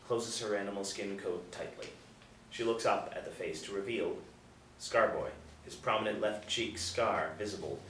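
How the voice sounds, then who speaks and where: A man narrating stage directions at a screenplay table read in measured, dramatic storytelling phrases. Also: fairly low pitch, fairly bright tone. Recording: echoey room, distant, faint noise.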